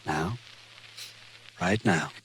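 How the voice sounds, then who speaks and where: An actor playing a bitter, vengeful man of the Old West, deliberate and accusing, in a 1950s radio western. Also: deep voice, fairly bright tone, husky, exaggerated voice, rough recording. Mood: cold menace. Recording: vintage radio drama recording.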